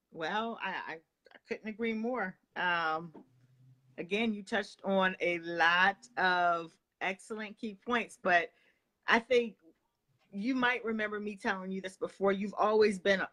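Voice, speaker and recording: A woman hosting an interview from her home, her voice notably bright and crisp. She talks in a halting way, full of fillers and pauses, and keeps affirming what her guest says. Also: mid-range voice. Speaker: interview host. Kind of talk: interview chat.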